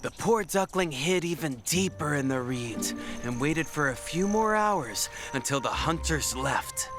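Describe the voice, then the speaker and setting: A man reading a scripted children's bedtime story as the narrator, with music behind him. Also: fairly high pitch.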